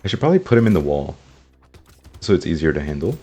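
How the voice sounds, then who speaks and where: Low husky man narrating gameplay. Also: sing-song, fast, mellow. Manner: upbeat, talks to viewers as guys. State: slightly sick, rough throat. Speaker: gaming YouTuber. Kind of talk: casual gameplay commentary.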